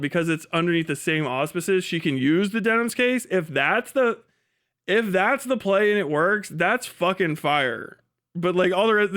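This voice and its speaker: Higher-pitched man, blunt, sweary streamer. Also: a bit bright. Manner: profane, blunt; rambling, muttering asides to self. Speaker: livestream host. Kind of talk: casual livestream commentary, talking to chat.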